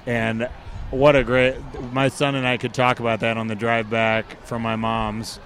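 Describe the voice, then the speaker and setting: Man, 40s, hoarse voice, enthusiastic guest, slight background noise. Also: mid-range voice, unhurried. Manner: animated, enthusiastic storytelling. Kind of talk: casual interview.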